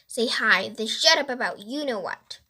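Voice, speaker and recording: A woman's voice: a little high, expressive, moderate pace, bright, forceful tone, breathy edge, full character voice. A woman voicing an earnest, worried young boy detective in a children's storybook, with an urgent, questioning manner. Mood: anxious.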